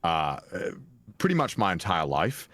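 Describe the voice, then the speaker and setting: Deep-voiced young Welsh man, dry sound. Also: huge pitch swings, moderate pace, a bit bright, dry recording. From Wales. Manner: chatty, self-deprecating, frequent restarts and laughs. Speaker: guest. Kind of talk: casual interview chat.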